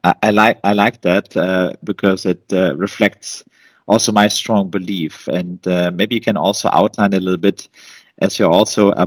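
A man with a deep, low voice hosts an interview podcast. His delivery is conversational, and the sound is very dry, free of any room echo. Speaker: podcast host.

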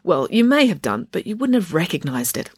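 Woman voicing a bright, prim teen girl. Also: on the low side, sing-song, fairly quick, husky, dry recording, clean studio sound, full character voice. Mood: cheerful. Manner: bright, cheerful, a little prim. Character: cheerful, prim, self-assured teenage girl.

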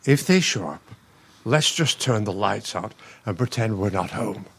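Animated, grumpy older American husband played by an actor. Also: male voice, fairly low pitch, a little slow, slightly rough, exaggerated voice. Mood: testy. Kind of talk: radio play acting.

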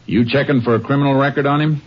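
An actor playing a calm, laconic American Texas Ranger in a fifties radio western, businesslike in dialogue and narration. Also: male voice, medium pitch, quick pace, natural voice. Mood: brisk, businesslike. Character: steady, laconic Texas Ranger lawman, male. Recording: muffled 1950s radio recording.

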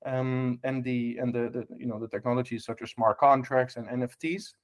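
Dutch man, mid-pitch, chatty guest who hesitates a lot and keeps checking "right?". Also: fairly even intonation, moderate pace. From Netherlands. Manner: many fillers and restarts, tag questions. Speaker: guest, hospitality tech lead. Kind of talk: casual podcast interview.

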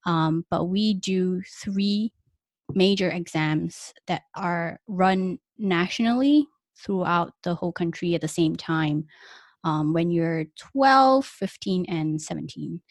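A woman's voice: fairly low pitch, takes it slowly, dry recording. A young woman from Malaysia, speaking Malay as a first language, chatting easily in an interview with lots of fillers. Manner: chatty, many fillers and small laughs. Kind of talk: casual conversational interview.

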